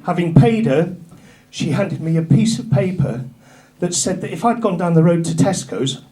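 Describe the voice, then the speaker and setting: A middle-aged man from North Yorkshire with an English accent, doing a stand-up routine in a comedy club, dropping his punchlines low and dry. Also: expressive, normal speed, slight background noise. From North Yorkshire, England. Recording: live club with loud crowd laughter. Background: audience laughter.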